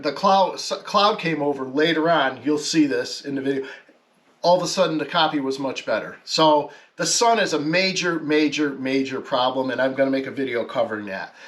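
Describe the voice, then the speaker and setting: A man giving a casual, explanatory talk as an amateur radio hobbyist, halting and correcting himself as he works through technical details. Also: on the high side, a bit bright. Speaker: amateur radio hobbyist presenting his project.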